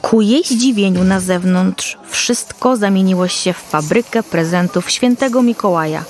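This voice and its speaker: A woman's voice: medium pitch, normal speed, darkish tone. A woman storyteller reading a scripted Christmas fairy tale for children.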